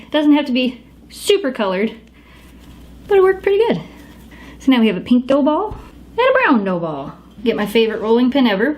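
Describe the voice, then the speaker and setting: Woman, fairly high and animated, casual kitchen host who chats with her dogs mid-recipe. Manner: chatty, talks to her dogs while she works. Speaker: home cook demonstrating a recipe. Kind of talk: casual how-to cooking video.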